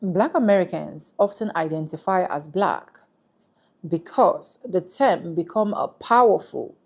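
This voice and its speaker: A woman reading explanatory narration in a slow, measured way. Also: lowish voice, animated, creaky, a little mellow, slightly rough.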